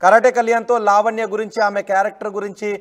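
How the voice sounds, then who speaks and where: A man's voice: high-pitched, little pitch movement, very fast. A man conducting a probing news interview, pressing insistently with pointed questions.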